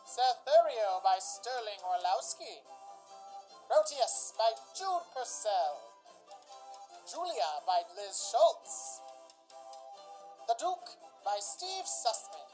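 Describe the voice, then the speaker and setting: A woman's voice: a little high, sing-song, slow pace, long pauses, darkish tone, soft background music, rough recording. A woman playing a showy radio announcer, narrating a scripted drama in a theatrical, presentational old-time style. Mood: grand, presentational.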